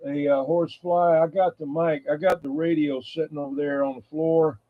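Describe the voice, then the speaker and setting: A man's voice: a little high, flat delivery, normal speed. A man chatting casually and folksily in a meandering way as a radio hobby show host. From United States East Coast. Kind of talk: casual rambling hobby chat. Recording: muffled, phone-like narrow sound.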